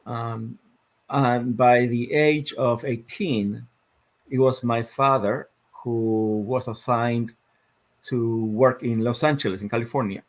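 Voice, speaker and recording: A man in his fifties from Argentina with an Argentine Spanish accent, a guest telling his life story in a reflective, measured way with many fillers. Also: medium pitch, fairly even intonation. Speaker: guest, sports psychologist. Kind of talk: interview storytelling.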